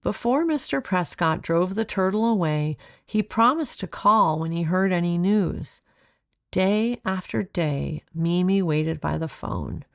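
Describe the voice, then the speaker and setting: A woman reading her own children's story aloud as a deliberate, expressive narrator, recorded close. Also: fairly low pitch, gravelly. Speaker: author reading own book.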